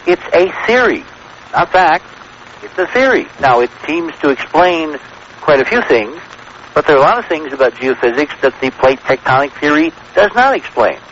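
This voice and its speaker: A man's voice: a little high, sing-song, moderate pace, a bit bright. An American man as a radio guest, explaining his theories in an assertive, lecturing way and checking that his points land. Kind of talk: radio interview, explanatory talk. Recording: noisy, dull vintage radio broadcast.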